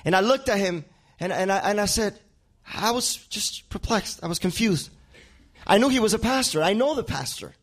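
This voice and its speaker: A man's voice: high voice, lively intonation, moderate pace. A male pastor, a native Spanish speaker with a Spanish accent, preaching a sermon and often repeating words as he builds a point.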